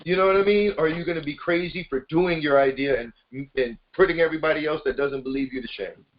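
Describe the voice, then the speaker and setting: A man's voice: a little high, fast talker. A man hosting a casual motivational group call, speaking informally and hyping up his listeners directly. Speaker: conference call host. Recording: muffled lo-fi conference call.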